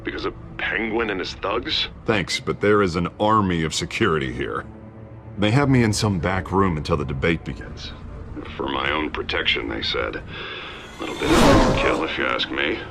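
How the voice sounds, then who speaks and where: An actor playing a middle-aged political candidate who talks hesitantly and apologetically, pleading for support. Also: male voice, deep voice, expressive, normal speed, a bit bright, faint music behind. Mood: uneasy.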